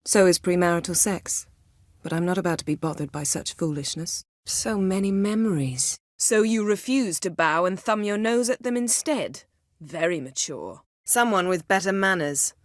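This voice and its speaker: A haughty sorceress from a fantasy game, played by a woman, speaks in a lowish voice. Her diction is formal and her manner imperious, with a dry, cutting wit. The sound is close and free of room echo. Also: normal speed, close to an everyday voice. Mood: dry, sardonic. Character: haughty, sharp-tongued, commanding sorceress in a fantasy world. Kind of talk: fantasy video game voice acting.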